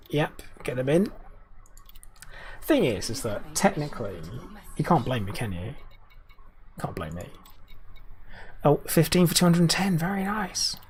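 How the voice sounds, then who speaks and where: Man streaming a game, slightly scratchy. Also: medium pitch. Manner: chatty, answers viewers by name, muttering asides. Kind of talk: live gameplay commentary.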